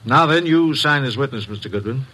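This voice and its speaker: Forceful, animated, formal gentleman. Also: medium pitch, a little fast, breathy edge. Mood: guarded, formal. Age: middle-aged. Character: formal, guarded, well-to-do middle-aged gentleman. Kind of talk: old-time radio drama acting.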